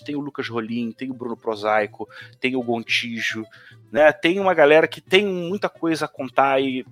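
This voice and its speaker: A man's voice: mid-range voice, fairly bright tone. A man with a Northeastern Brazilian accent, a tabletop game designer chatting casually and rambling with many restarts. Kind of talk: casual conversation on a live stream.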